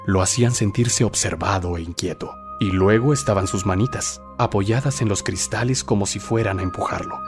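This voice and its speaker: Low-voiced man narrating horror. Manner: theatrical, suspenseful storytelling. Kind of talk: dramatic scripted horror narration.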